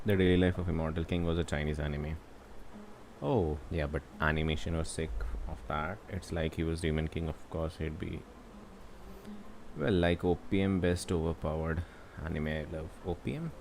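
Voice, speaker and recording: Deep-voiced Indian-accented male livestreamer. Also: normal speed, a little mellow, low-quality recording. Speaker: game livestreamer. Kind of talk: casual livestream chat. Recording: faint background noise.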